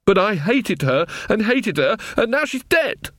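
A man's voice: a little high, big pitch swings, normal speed, no echo, studio quality. A man voicing an earnest, uncertain younger witch woman in an audiobook, speaking hesitantly and with feeling. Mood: upset, flustered. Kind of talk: audiobook character dialogue.

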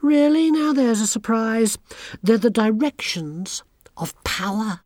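A bossy, haughty teenage witch from a storybook, pitched up high and dripping with condescension, as a woman reader puts her on. She orders the other girls about with slow, lively sweeps of pitch. The reading is close and dry. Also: dry recording. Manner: sneering, imperious, talks down.

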